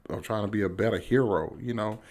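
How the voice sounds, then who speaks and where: American guy doing a casual movie review, lowish voice, hyped and slangy, says stuff twice to hammer it home. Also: fast, a little mellow. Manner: enthusiastic, slangy, emphatic, repeats phrases for emphasis. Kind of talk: casual, unscripted video review to camera.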